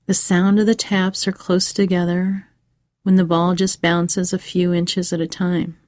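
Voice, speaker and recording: A woman narrating a scripted guided meditation for children in a measured, soothing way with pauses. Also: fairly low pitch, flat delivery, darkish tone, no room sound, clean studio sound.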